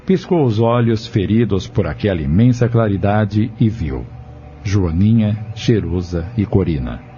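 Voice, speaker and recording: A man's voice: fairly low pitch, animated, unhurried, warm tone. A man with a Brazilian accent reading scripted narration and announcements for a radio drama. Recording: dull lo-fi radio recording with music.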